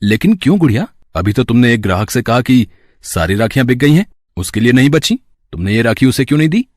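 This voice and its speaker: A kind, playful big brother played by a man in a cartoon story. His delivery is theatrical and drawn out, swinging widely in pitch while staying reassuring. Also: sing-song, quick pace, no room sound, clean studio sound. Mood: pleased. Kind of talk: dramatised Hindi cartoon storytelling.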